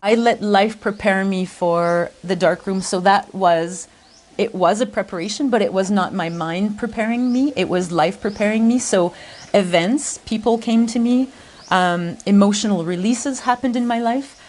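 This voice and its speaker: A woman's voice: mid-range voice, normal speed, darkish tone. Earnest, reflective woman. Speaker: retreat participant. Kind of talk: documentary testimony.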